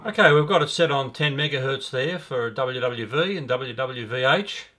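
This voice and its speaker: A middle-aged man presenting a hobby video, explaining casually in a halting, unhurried way. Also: medium pitch, bright, forceful tone, soft background music. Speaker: amateur radio hobbyist presenter. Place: small indoor radio room.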